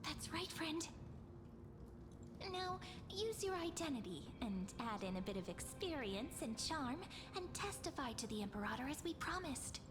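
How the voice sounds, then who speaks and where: A woman's voice: big pitch swings, moderate pace. A woman playing a sly, chummy persuader in a video game, with a lilting, coaxing delivery. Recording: echoey hall reverb, noisy rumbling backdrop.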